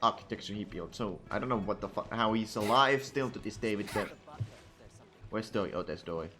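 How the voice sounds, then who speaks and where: A man's voice: on the low side, brisk. A man giving casual, irreverent, sweary reaction commentary as he streams a game. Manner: irreverent, profane, interjecting. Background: game music.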